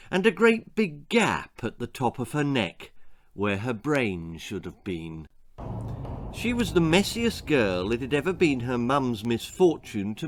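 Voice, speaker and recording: British man storytelling with very animated delivery. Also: medium pitch. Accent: British English. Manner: dramatic, relishing words, with deliberate pauses. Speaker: author reading own story. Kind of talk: theatrical children's storytelling.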